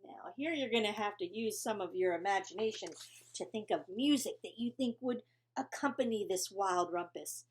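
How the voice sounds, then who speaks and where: A woman's voice: medium pitch, moderate pace. A woman teacher reading a children's storybook aloud, expressively, with chatty asides to her young listeners.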